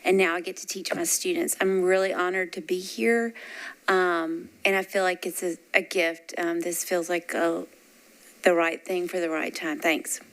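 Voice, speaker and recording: A middle-aged woman, a nursing professor, introducing herself sincerely and calmly. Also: lowish voice, moderate pace. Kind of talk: self-introduction at a meeting.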